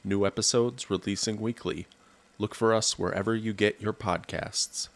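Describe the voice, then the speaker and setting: A man's voice: on the low side, fairly even intonation, normal speed. A man announcing a podcast promo in a scripted ad read.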